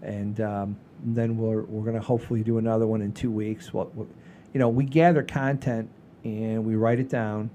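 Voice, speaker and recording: Male co-host, soft mellow tone, loose rambling chat, repeats himself, faint rumble in the background. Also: flat delivery, normal speed. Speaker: comedy show co-host. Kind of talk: casual chat, addressing the audience. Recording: low rumbling background noise.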